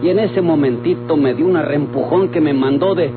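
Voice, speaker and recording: A man's voice: a little high, a little fast, natural voice. An actor playing a weak, indignant rustic Mexican henchman with a Mexican accent, grumbling in country slang in an old radio drama. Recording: muffled old radio recording with music.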